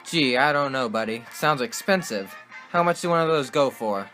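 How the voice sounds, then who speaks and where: A man's voice: mid-range voice, lively intonation, moderate pace, bright, forceful tone, faint music behind. Man playing a wisecracking 1920s radio sports host. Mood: curious. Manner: folksy, slangy, sardonic. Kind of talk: 1920s radio broadcast acting with period slang.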